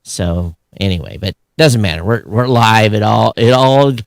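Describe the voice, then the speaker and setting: A man's voice: on the low side, animated, measured pace, gravelly, no room sound, clean studio sound. An American man chatting casually and unscripted as a podcast co-host, in a rambling, conversational way. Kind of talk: casual unscripted show chat.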